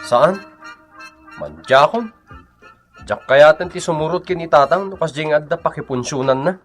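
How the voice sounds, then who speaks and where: A man's voice: on the high side, expressive, moderate pace, faint music behind, lightly acted. An actor playing a stubborn, matter-of-fact young man with an insistent, questioning manner in a comedic radio drama.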